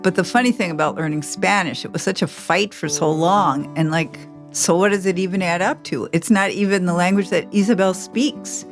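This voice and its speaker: A woman's voice: on the low side, normal speed, hardly any pauses, soft background music. A middle-aged American woman co-hosting a podcast, speaking reflectively and asking personal questions. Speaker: podcast co-host interviewing her grown child. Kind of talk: reflective interview and narration.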